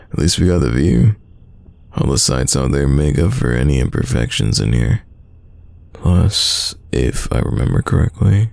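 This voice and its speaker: A man playing a secretly smitten, teasing young man in an intimate roleplay audio drama, deep and gravelly, talking casually. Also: darkish tone, no room sound, exaggerated voice. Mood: upbeat, reassuring. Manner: casual, conversational, halting, teasing.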